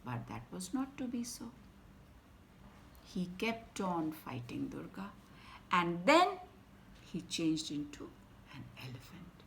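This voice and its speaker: Indian woman storyteller for kids, lowish voice, expressive, faint room ambience. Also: slow pace, creaky, pauses often, slight background noise. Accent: Indian English. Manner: dramatic, swings between loud emphasis and soft whispers. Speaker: storyteller for children. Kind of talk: expressive bedtime story reading.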